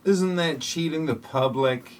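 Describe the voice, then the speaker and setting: A man's voice: fairly high pitch, unhurried, slight background noise, lightly acted. A man playing an earnest, moralizing mysterious outsider in an amateur parody audio play. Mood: reproachful.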